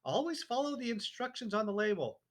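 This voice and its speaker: A man's voice: high-pitched, sing-song, fairly quick, a bit bright, pitched above the performer's own voice. A man doing a prim scolding mother. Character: bossy know-it-all mother, woman.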